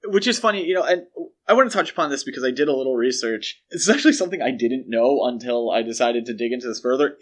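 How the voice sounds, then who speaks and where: A man hosting a podcast, talking through films in a casual, analytical and emphatic way. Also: medium pitch. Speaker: podcast host. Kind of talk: casual analytical film discussion.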